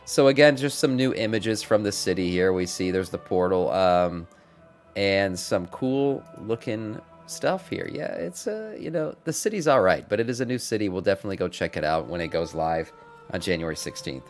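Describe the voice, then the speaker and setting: A man's voice: deep voice, moderate pace. A middle-aged man hosting a gaming news stream, casually reading out updates with informal, mildly sweary asides to viewers. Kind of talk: casual livestream commentary with some reading aloud. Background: background music.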